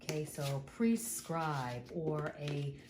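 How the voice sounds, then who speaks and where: A woman teacher explaining word roots slowly and patiently, thinking aloud and repeating the words she explains. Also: low-pitched, reverberant, noisy background. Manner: slow, patient, drawn-out explaining.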